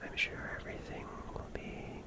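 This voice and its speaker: An ASMR streamer speaking in a whisper, soothing and reassuring, with a gently playful manner. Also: moderate pace.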